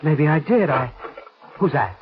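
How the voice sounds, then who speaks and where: Weary, despairing young fugitive, fairly high voice with wide swings, halting, 1940s radio melodrama. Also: male voice, animated. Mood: dazed, confused. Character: dazed, despairing young man, hunted fugitive. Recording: dull vintage 1940s radio recording.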